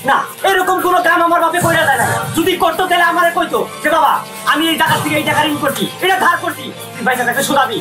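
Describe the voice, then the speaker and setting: Loud music plays behind a man acting as a cowardly village father in a comic drama. His voice is high and quick, flustered, with phrases repeated over and over. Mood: indignant. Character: excitable, cowardly village father, indignant and fearful.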